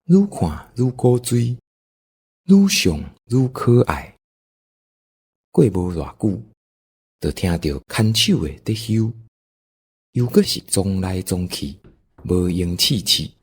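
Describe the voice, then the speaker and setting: A man's voice: low voice, very animated, takes it slowly, long pauses, husky. A man with a Taiwanese accent narrating an audio drama in a folksy, dramatic storytelling style.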